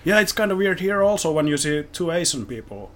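A man's voice: on the high side, sing-song, a little fast. A man from Finland with a Finnish accent, a podcast guest chatting casually and rambling with lots of fillers, with English as a second language. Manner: rambling, many fillers like "you know" and "like". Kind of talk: casual chat.

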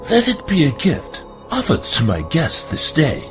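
A man's voice: a little high, wildly swooping pitch, moderate pace, music in the background. A man playing a courtly, amorous young silk merchant with florid, ingratiating delivery in an audio drama. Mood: courtly, obliging. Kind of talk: theatrical audio drama acting, archaic dialogue.

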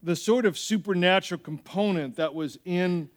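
A man preaching as a pastor in an animated, conversational way, repeating phrases for emphasis and telling humorous personal stories. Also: high-pitched, moderate pace. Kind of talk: sermon with personal anecdotes and acted-out dialogue.

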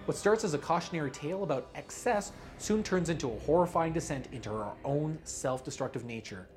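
A man reading a scripted promotional pitch as a creative director, with dramatic storyteller-like emphasis. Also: expressive, fairly quick, music in the background.

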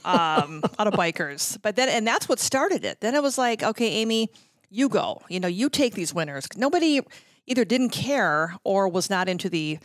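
A middle-aged American woman shares anecdotes in a casual, chatty interview style. Her voice sits at an average pitch and she slips into quoted dialogue as she goes. The recording is dry and close. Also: very animated, moderate pace, dry recording. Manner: chatty, self-quoting, acts out dialogue. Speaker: interview guest, former radio promotions worker.

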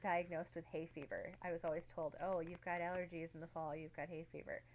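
A woman's voice: on the low side, flat delivery, a little fast, a little hiss or hum, low-quality recording. A woman co-hosting a casual podcast, talking reflectively with many "like" and "um" fillers. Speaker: podcast co-host.

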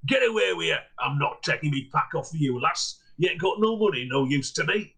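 A husky-voiced man, playing a rough, injured peddler who shouts wild accusations. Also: on the high side, animated, brisk, exaggerated voice. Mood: gruff, dismissive. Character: angry injured male peddler, middle-aged, gruff.

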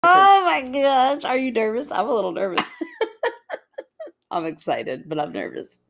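A woman co-hosting a podcast in casual, playful banter, jokey and quick to poke fun at herself. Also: sits high, huge pitch swings, creaky, fairly bright tone, no echo, clean studio sound. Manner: playful, self-deprecating, jokey. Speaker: podcast co-host. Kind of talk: casual comic banter with sibling co-host.

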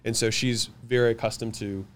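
A man whose first language is French, answering interview questions about running companies, confident and quick, listing his points one after another. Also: mid-range voice, level tone, a bit bright, slight background noise. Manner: fast, confident, lists points in quick runs. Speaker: guest, business owner.

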